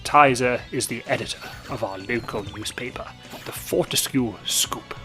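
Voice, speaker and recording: A man narrating a spooky tale in a theatrical, genteel, measured way. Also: mid-range voice, bright, forceful tone. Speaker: storyteller host. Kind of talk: scripted narration. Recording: music behind.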